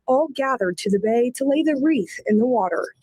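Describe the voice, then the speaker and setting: A woman news reporter reading a scripted TV report in a measured delivery. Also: sits high, lively intonation, background noise.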